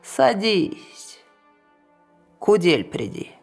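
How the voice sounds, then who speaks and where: A woman voicing a menacing old witch in a fairy tale, giving shrill, distant commands. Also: big pitch swings, drawn-out words, vocal fry, breathy edge, soft background music, exaggerated voice. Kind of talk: storybook reading.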